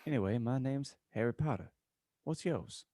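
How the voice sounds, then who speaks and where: A man's voice: mid-range voice, expressive, moderate pace, mellow, dry recording, clean studio sound, lightly acted. A man voicing a wholesome, overly courteous, pious young wizard with a Southern accent in a comic reading. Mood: friendly. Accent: American Southern. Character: sickly polite, pious Southern preppy boy wizard.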